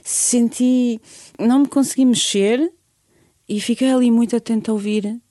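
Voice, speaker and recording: A woman's voice: fairly high pitch, fairly even intonation, normal speed, dark tone, dry recording, studio quality. A middle-aged woman from Bosnia, speaking a learned earnestly and searching for words in a radio interview. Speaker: guest singer.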